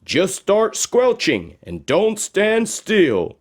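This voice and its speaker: Man as sing-song magic talking shoes, high-pitched. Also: wildly swooping pitch, extremely slow, exaggerated voice. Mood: encouraging. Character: pair of magic talking shoes, bossy, cheerful, encouraging. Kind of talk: storybook character voice.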